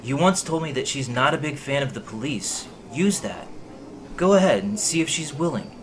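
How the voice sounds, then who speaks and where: A man's voice: medium pitch, expressive, moderate pace, natural voice. An actor playing a protective, concerned man in an audio drama, earnest and reassuring. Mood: worried, reassuring. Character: concerned, steady male confidant, protective problem-solver.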